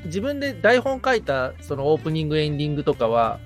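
A man's voice: a little high, big pitch swings, quick pace, soft background music. A man who is a novice podcaster, chatting casually and candidly as the assistant on a show. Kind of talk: casual chat.

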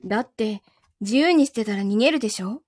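A woman's voice: medium pitch, animated, brisk, a little mellow, dry recording, clean studio sound, close to an everyday voice. A woman playing a betrayed, possessive young lover in a live audio drama, with a Kansai Japanese accent and a controlled, coldly teasing manner. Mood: cold, commanding.

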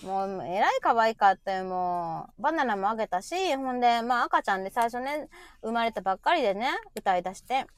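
A woman's voice: on the high side, expressive, brisk, no echo. A woman with a Kansai accent chatting casually as co-host, bantering and poking fun at herself. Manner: bantering, self-deprecating. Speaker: co-host of a chat show. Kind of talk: casual chat. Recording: music playing behind the voice. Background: music.

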